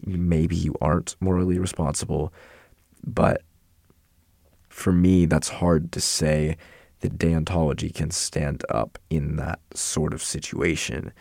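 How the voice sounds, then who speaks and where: Young guy w/ a rough scratchy voice, super low and gravelly, dry close sound, kinda rambly. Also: little pitch movement, slow pace, darkish tone, dry recording. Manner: rambling, self-correcting, filler-heavy. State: hoarse from yelling and illness. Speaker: student explaining philosophy. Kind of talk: casual explainer talk.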